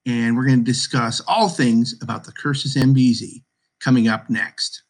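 A man hosting an interview podcast, speaking in a measured, encouraging way to his guests. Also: medium pitch, steady tone. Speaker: podcast host. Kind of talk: podcast interview with school play actors.

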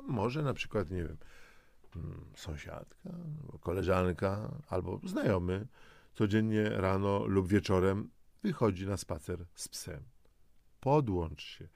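A man speaks straight to the listener as a motivational host, in a low voice with a gravelly fry. He coaxes and instructs, posing questions as he goes. The sound is close and dry. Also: darkish tone, dry recording. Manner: coaxing, instructive, with rhetorical questions. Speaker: host of a health and lifestyle audio programme. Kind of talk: semi-scripted motivational narration addressed to the listener.

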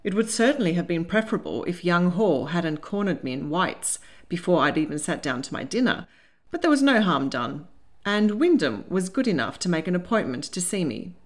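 A woman voicing a hearty, affectionate older gentleman lord in a storybook, jovial and teasing. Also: fairly low pitch, sing-song, moderate pace. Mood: amused.

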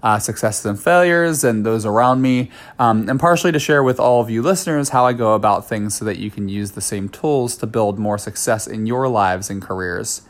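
A man hosting his own podcast, talking casually and candidly while he has a cold, with lots of fillers and quick asides. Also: lowish voice. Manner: chatty, candid, many fillers and self-asides. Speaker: podcast host. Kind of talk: casual solo podcast talk.

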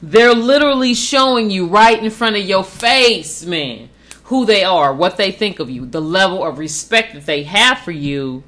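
Woman on a podcast laying down relationship rules, cussing a lot, bright sharp tone. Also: medium pitch, animated, a little slow. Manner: blunt, profane, preachy. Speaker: podcast co-host. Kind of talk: casual relationship advice chat.

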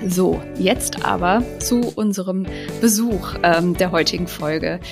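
A woman in her forties hosting a podcast, welcoming a guest and reading a promo in a brisk, chatty way. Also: fairly low pitch, gravelly, over music. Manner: brisk, chatty announcer reading ads, some fillers. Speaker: podcast host.

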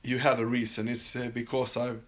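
A man's voice: mid-range voice, completely flat delivery. Swedish-accented man hosting a podcast. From Sweden. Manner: halting, many fillers and restarts. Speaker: podcast host. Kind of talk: casual solo podcast monologue. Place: summer house.

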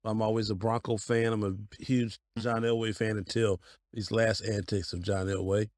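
A man's voice: lowish voice, level tone, moderate pace, a little mellow, dry recording. A man in his fifties, a pastor from Colorado, telling stories of his life as an interview guest in a folksy way. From Denver, Colorado. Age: 50s. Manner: folksy, storytelling, laughs easily.

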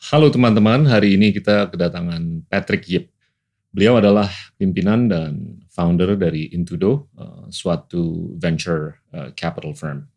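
A man's voice: deep voice, normal speed, vocal fry, a little mellow. A man hosting a casual interview and putting questions to his guest. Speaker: podcast host, interviewer.

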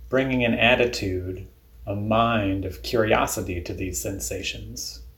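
A man leading a guided body scan meditation in a calm, deliberate, instructional way. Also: lowish voice, echoey room.

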